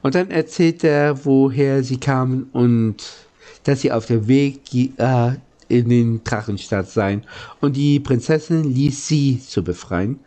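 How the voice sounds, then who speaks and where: A man reads a children's story aloud at a slow pace. His voice is mellow and mid-pitched, and his delivery halts and stumbles, with words restarted. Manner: halting, stumbles over words and rereads. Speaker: audiobook reader. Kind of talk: storybook narration read aloud.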